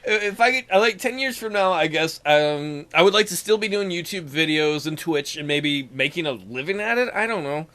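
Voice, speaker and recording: A man's voice: on the high side, animated, moderate pace, few pauses, bright, forceful tone. A young man co-hosting a gaming podcast, chatting casually with dry, self-deprecating humor. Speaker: podcast co-host.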